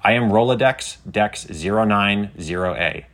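A man's voice: on the low side, moderate pace, a bit bright, voice pushed up, lightly acted. Man voicing a precise little storybook robot. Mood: earnest, precise. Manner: formal, precise, recites codes and numbers. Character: small loyal robot, former pocket calculator, earnest and precise. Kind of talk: scripted children's audio story.

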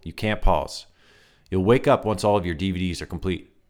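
A man voicing a wiry male stranger with a light, reedy voice who talks in a calm, cryptic, faintly menacing way. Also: deep voice, moderate pace, natural voice. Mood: explaining.

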